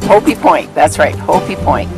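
A woman's voice: low voice, lively intonation, moderate pace, loud music behind the voice. A middle-aged woman cheerfully describing sights on a road trip, recorded outdoors on a handheld camera. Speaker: traveler narrating a road trip. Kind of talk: casual travel narration. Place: outdoors at a windy scenic overlook.

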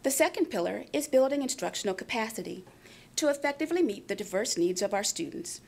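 A woman's voice: fairly high pitch, expressive, fast talker, vocal fry, a little mellow. A woman giving a scripted narration for a school division's program presentation.

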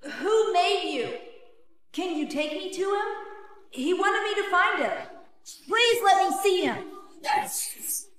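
An earnest young heroine of a dark cartoon video game. She talks slowly and expressively, with determination, and her voice rings with long hall reverb. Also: very high voice, animated, a little hiss or hum, heavily acted. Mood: desperate. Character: young woman trapped in an inky cartoon world, earnest, determined.

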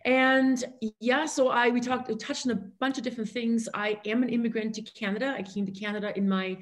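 A woman's voice: a little high, fairly even intonation, a little fast. A middle-aged woman from the Austrian Alps, a native German speaker, telling her personal story as a podcast guest on a video call. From rural Austrian Alps. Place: indoor room on a video call.

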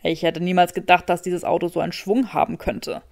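A woman's voice: lowish voice, flat delivery, a little fast, vocal fry, voice pushed down. A woman voicing a cocky, sardonic young man with a sarcastic drawl, in an audiobook story. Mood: teasing accusation.

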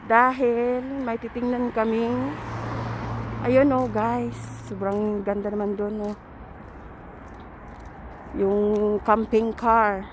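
Slow-talking Filipino woman on a noisy outdoor vlog. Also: on the high side. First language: Tagalog. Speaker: vlogger. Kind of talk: casual walking vlog chat. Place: outdoors at a riverside camping area. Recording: outdoor handheld recording with clear background noise.

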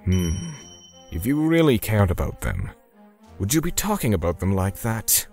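Low, grim man acting as a vengeful torturer. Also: sing-song, normal speed, a little mellow. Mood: interrogating. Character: grim, self-righteous male torturer seeking vengeance for victims. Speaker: narrator. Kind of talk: dramatic manga dub narration. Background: music.

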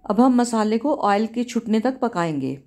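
A woman cooking-channel host, giving a calm, step-by-step recipe voice-over in a home kitchen. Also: on the high side, moderate pace, soft background music. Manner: calm, instructive, repeats steps.

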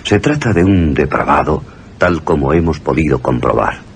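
An actor playing a stern, moralizing guardian in a radio drama, grave and formal. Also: male voice, rumbling low, expressive, moderate pace, creaky, slight background noise, heavily acted. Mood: grave, disapproving. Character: stern male guardian reading a warning letter. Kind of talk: Spanish radio drama acting.

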